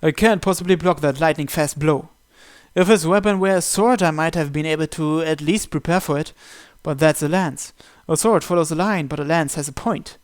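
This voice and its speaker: Guy with a higher voice reading a story out loud, dramatic, pretty dry close sound. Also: moderate pace, clear, resonant tone, dry recording. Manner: expressive, first-person storytelling with occasional stumbles. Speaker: video game player reading a visual novel aloud. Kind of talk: dramatic read-aloud narration.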